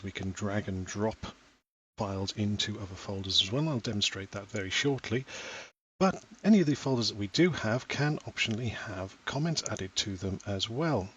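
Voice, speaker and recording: A man in his thirties, a parent from Wales, walking through a school software platform step by step in a patient, explaining voice. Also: fairly low pitch, a little hiss or hum, rough recording. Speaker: parent giving a tutorial. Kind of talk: screen-recorded software tutorial.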